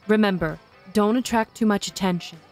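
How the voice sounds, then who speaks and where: A woman's voice: mid-range voice, a little fast, faint music behind, close to an everyday voice. An actress playing a stern, rule-minded witch in an audio drama, clipped and disapproving. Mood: irritated.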